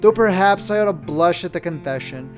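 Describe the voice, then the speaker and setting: A man's voice: high-pitched, lively intonation, brisk. Storybook Old Year read by a man: tired older sister, heavy and grave, plucked guitar underneath. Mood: rueful. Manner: heavy, grave, world-weary. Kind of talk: storybook character reading.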